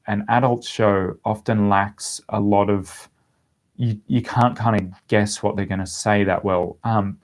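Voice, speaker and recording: A man with an Australian accent chatting casually as he answers viewers' questions on a livestream. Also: fairly low pitch. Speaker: language-learning vlogger answering viewer questions.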